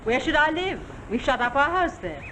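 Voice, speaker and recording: An actress in a 1940s television drama playing a cool, sardonic countess with clipped, disdainful politeness. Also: female voice, sits high, sing-song, moderate pace, bright, forceful tone, heavily acted. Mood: cool, mocking. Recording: old 1949 soundtrack with faint noise.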